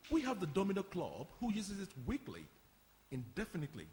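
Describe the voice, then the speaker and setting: Man acting polite practical man, highish voice. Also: huge pitch swings, brisk, darkish tone, exaggerated voice. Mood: upbeat, explanatory. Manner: polite, explanatory. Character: Carl, courteous, practical community centre man. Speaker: actor in a live radio play. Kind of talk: radio drama acting before an audience. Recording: reverberant hall, faint background noise.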